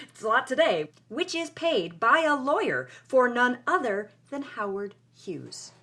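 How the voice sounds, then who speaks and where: An actress playing an amused investigator, explaining events in conversational storytelling narration. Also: female voice, on the high side, sing-song, measured pace, sharp tone, exaggerated voice.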